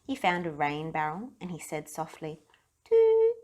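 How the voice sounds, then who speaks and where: A woman reading a children's story aloud in an expressive narrating style. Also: deep voice, moderate pace. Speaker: storybook reader.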